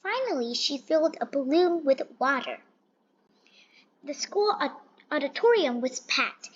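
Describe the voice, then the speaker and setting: High-pitched woman reading a picture book, muffled. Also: expressive, measured pace. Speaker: storybook reader. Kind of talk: children's picture book read-aloud. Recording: dull, lo-fi sound.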